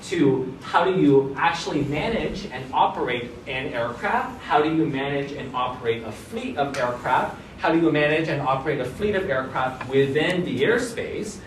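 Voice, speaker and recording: A man's voice: mid-range voice, expressive, normal speed, few pauses, a little hiss or hum. A man giving a casual academic seminar talk, often checking in with the audience and restarting his phrases. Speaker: visiting researcher giving a seminar. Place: lecture room. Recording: echoey room, mic at a distance.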